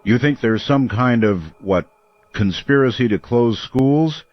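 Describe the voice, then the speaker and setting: A man playing a calm, measured late-night radio call-in host. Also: mid-range voice, dry recording, highs cut off, natural voice.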